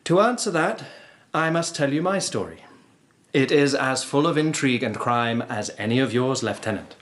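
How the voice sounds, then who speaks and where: A man's voice: mid-range voice, animated, moderate pace, a bit bright, lightly acted. An actor playing a young nobleman who informs on a plot in a radio drama, formal and grave, telling his story earnestly. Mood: earnest, urgent. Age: young adult.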